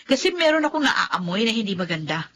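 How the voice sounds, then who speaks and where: A brash, jealous young woman in a radio play, as a woman plays her, with a bright, sharp, fairly high voice. She mocks and taunts, quick to fling an insult. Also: very animated, exaggerated voice. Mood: taunting.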